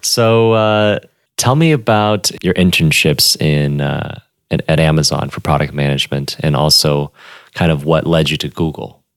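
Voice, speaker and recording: Very deep-voiced male interviewer. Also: normal speed, no echo, studio quality. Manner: relaxed, affirming, with frequent backchannels. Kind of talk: casual conversational interview.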